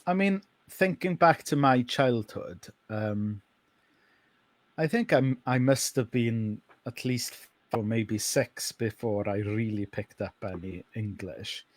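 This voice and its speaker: A man from Wales with a North Welsh accent, Welsh his first language, a pastor speaking slowly and with many pauses in a podcast interview. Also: mid-range voice. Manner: unhurried, hesitant with many ums.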